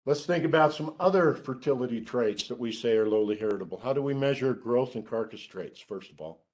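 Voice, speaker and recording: A middle-aged man giving a seminar lecture to students, who calls his own voice monotone and drops dry joking asides while he asks the room questions. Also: mid-range voice, fairly quick. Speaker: research scientist giving a seminar.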